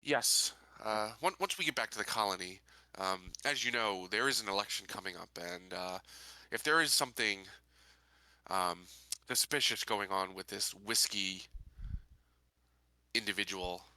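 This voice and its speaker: A man playing a tabletop roleplaying game, talking casually and deliberately with frequent hesitant pauses. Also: lowish voice, animated, pushed and bright, no room sound, low-quality recording. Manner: deliberate, hesitant, pausing often.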